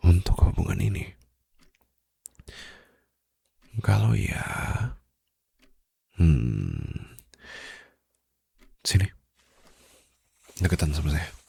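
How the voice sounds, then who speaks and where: A man playing a smitten, suave mafia boss in an intimate ASMR romantic roleplay, teasing softly and possessively close to the microphone in a low, gravelly, breathy voice. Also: wildly swooping pitch, dry recording, exaggerated voice. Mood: tender, seductive. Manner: slow, soft, flirtatious teasing with formal wording. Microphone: close studio microphone.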